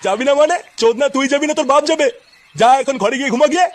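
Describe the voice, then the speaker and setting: A man's voice: very high voice, racing, noisy background. A man voicing an irate, foul-mouthed family man in a crude comedy cartoon, scolding and hectoring. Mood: angry scolding.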